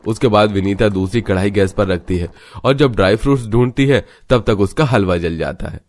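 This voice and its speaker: Low-voiced male story narrator. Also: a little fast, a little mellow. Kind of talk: scripted cartoon story narration.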